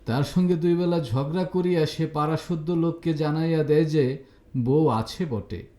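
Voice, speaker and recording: A man's voice: fairly high pitch, warm tone, reverberant, natural voice. A man voicing a cheerful, teasing young woman who banters and mock-scolds her cousin in an audio story. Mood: mock scolding. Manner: bantering, mock-scolding, rapid-fire reproaches.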